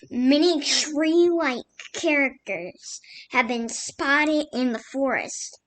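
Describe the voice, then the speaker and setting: A woman reading a scripted mock emergency broadcast as an announcer, in a formal, halting manner. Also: sits high.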